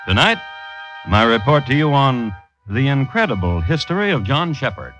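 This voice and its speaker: A man hosting an old-time radio crime series, narrating from a script with deliberate, wry understatement. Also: mid-range voice, big pitch swings, fairly bright tone. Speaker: host and narrator. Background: music.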